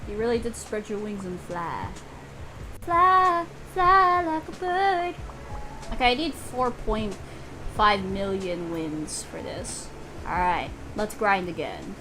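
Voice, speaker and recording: A woman's voice: mid-range voice, background noise. A woman doing casual live gameplay commentary in a playful, exclamatory way, swearing freely. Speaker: game streamer.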